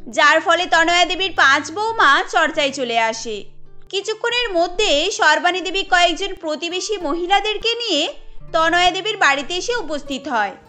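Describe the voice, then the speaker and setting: A woman's voice: very high voice, sharp tone. A woman narrating a scripted cartoon story as its storyteller.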